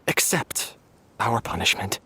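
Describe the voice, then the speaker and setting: A man playing a remorseful, resigned young man in a video game drama, with a husky voice. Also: on the low side, very animated, measured pace, bright, forceful tone, slight background noise, full character voice.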